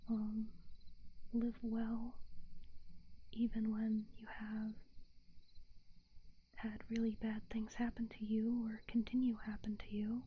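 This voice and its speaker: A woman's voice: on the high side, monotone, slow, pauses often. A young woman VTuber whispering candidly to her stream audience, hesitant and full of fillers. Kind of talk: whispered ASMR-style stream chat. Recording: slightly dull audio with faint hiss.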